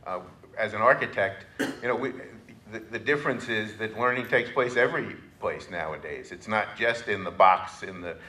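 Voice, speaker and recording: Audience questioner, male, 50s, American, lowish forceful voice, halting delivery, roomy echo. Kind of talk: unscripted question to a panel. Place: meeting room, indoors. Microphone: handheld microphone. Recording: echoey meeting room.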